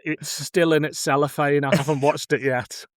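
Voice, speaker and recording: A man's voice: on the high side, steady tone, moderate pace, no room sound, clean studio sound. A man chatting casually about films as a podcast co-host. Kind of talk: casual film discussion chat.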